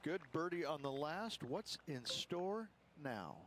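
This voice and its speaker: A man's voice: a little high, animated, slow. A man voicing an easygoing golf analyst in a video game, giving approving, conversational broadcast commentary. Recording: faint crowd and ambient noise.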